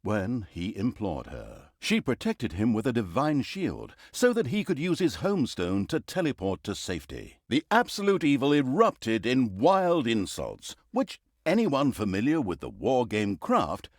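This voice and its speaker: Wry, mocking storyteller for fantasy game narration: theatrical, wildly varied intonation, mid-pitched, dry studio sound. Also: male voice, wildly swooping pitch, measured pace, few pauses, no echo. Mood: wry, dramatic.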